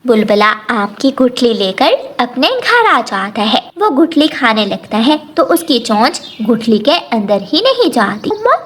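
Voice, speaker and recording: A woman with a high-pitched voice narrates a children's story. She reads scripted lines as the storyteller. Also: very animated, unhurried, few pauses, fairly bright tone, slightly rough.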